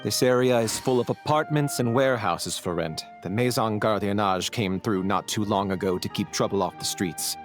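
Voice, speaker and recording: A lowish, uneasy go-between voiced by a man. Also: moderate pace, few pauses, lightly acted. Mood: explaining. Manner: explains at length, hesitant and a little uneasy. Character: nervous male go-between turned double agent. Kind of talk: video game voice acting. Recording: game audio with faint music underneath.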